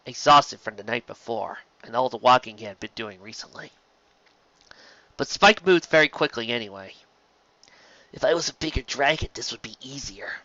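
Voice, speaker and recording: A man's voice: mid-range voice, bright, forceful tone, no room sound, lo-fi sound. A man reading a scripted fantasy story aloud as its narrator. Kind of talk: scripted narration of fan fiction.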